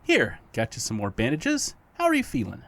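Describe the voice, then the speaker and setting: Audio drama role for an actor: mischievous non-human companion, chuckling and needling, mid-pitched with very animated delivery. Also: normal speed. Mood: teasing. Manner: teasing, chuckling, exaggerated. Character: playful petty non-human best friend, teasing and theatrical.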